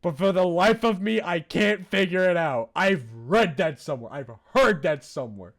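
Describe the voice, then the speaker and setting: A man's voice: high-pitched, animated, moderate pace. A man chatting casually on a livestream as a game streamer, enthusiastic and informal in how he talks.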